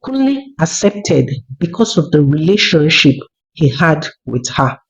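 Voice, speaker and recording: A narrator telling a scripted folktale in a measured way, as a storyteller. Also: medium pitch, very animated. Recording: dull lo-fi recording.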